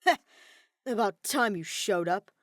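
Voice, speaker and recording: A woman voicing a defiant, hot-headed teenage boy in an audiobook, growling and impatient in a forceful way. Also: medium pitch, expressive, a little slow, no echo, clean studio sound. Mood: triumphant defiance. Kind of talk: character voice in fan fiction audiobook.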